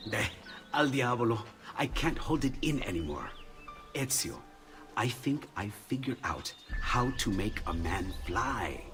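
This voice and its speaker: An actor playing an excitable, timid inventor with an eager, hesitant manner in a game cutscene. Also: male voice, fairly low pitch, a little slow, over music. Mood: eager, friendly. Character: excitable, warm-hearted, timid male inventor and artist. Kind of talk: dramatic game cutscene acting.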